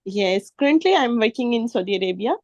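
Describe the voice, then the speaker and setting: A woman from Pakistan who works as a nurse, politely giving feedback in an online class, pausing often with fillers and addressing the teacher as sir. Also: a little high, lively intonation, a little mellow, no echo, lo-fi sound. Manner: polite, deferential, many fillers. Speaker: nurse and student. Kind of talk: class feedback on a video call.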